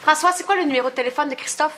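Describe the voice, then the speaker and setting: An actress with a Québécois accent playing a sharp-witted woman who needles her friends playfully. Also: high voice, rapid-fire, background noise, natural voice. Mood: teasing. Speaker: sitcom character, friend. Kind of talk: sitcom acting. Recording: TV sitcom audio with music and audience.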